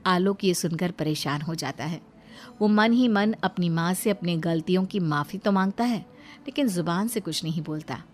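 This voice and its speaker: A woman's voice: lowish voice, normal speed. A woman narrating a scripted cartoon moral story as its storyteller.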